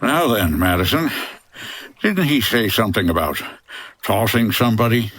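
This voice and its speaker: An actor playing a gruff, wry 1950s boat skipper with a deadpan manner in a comedy adventure audio drama. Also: male voice, on the low side, normal speed, a little hiss or hum. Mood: unruffled. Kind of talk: old-time-radio-style comedy adventure acting.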